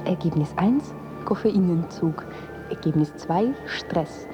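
A woman's voice: on the low side, expressive, slow pace. An actress in a radio drama playing a clinical, ominous woman who reads out search results in a clipped, list-like way. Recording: music behind the voice.

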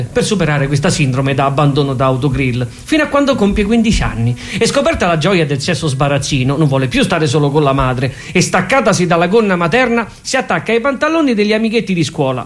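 A man delivers a comic story on stage-style terms, in a high voice that swings widely in pitch. His telling is emphatic and theatrical, circling back on repeated phrases. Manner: emphatic, repetitive, with sudden exclamations. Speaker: comic monologue performer.

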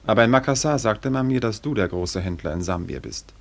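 An actor in a German radio drama playing a proud, dignified young Malay prince who speaks formally and with measured care. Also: male voice, lowish voice, lively intonation, creaky. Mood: courteous, grave.

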